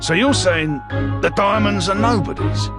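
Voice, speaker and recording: An actor playing a gruff, easily baffled police inspector in an animated mystery game, with a husky voice. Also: male voice, high voice, a little slow. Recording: faint music behind the voice.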